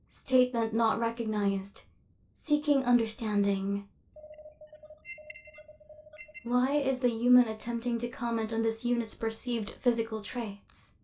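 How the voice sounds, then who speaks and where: A woman's voice: fairly high pitch, a little mellow, lightly acted. A woman voicing a female robot assassin in an ASMR roleplay, cold and relentless, with clipped, formal, mechanical delivery. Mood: puzzled, clinical.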